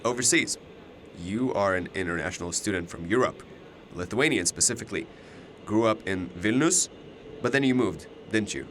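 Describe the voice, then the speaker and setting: Guy doing cocky know-it-all young dude in a radio play, teasing, rambly, bright voice over faint noise. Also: on the low side, normal speed, lightly acted. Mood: smug. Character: cocky, chatty, all-knowing alternate-universe male double of a student. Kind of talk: audio drama dialogue.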